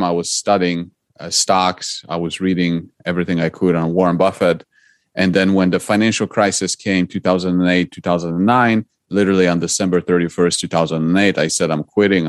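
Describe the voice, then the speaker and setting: A man's voice: low voice, steady tone, a little fast, dry recording, clean studio sound. A middle-aged man from Poland, earnestly explaining his investing path as a podcast guest. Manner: earnest, explanatory, some repeated starts. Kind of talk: interview answers.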